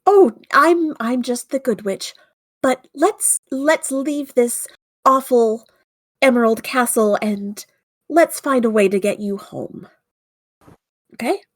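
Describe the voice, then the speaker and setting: A woman playing a bossy but caring good witch in a tabletop game, brisk and by turns scolding or reassuring. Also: on the high side, no echo, studio quality. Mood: gently reassuring.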